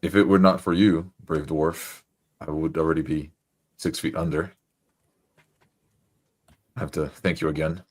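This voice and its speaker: A man playing a world-weary wounded adventurer in a tabletop game, speaking formally and deliberately. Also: extremely low pitch, little pitch movement. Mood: grateful.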